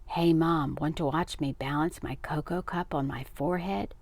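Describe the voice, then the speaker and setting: A woman's voice: medium pitch, lively intonation, moderate pace, pitched above the performer's own voice, natural voice. A woman voicing an eager, cheeky ten-year-old boy who pesters and shows off in a children's storybook. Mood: coaxing.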